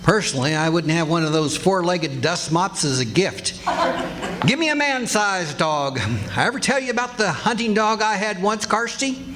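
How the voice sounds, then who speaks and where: A man's voice: sits high, expressive, moderate pace, fairly bright tone. A man playing a blustery, boastful, folksy husband who tells tall tales in an old-time radio comedy. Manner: folksy, grumbling, exclamatory. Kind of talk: 1940s radio comedy acting before a live audience. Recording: noisy live stage recording with clicks.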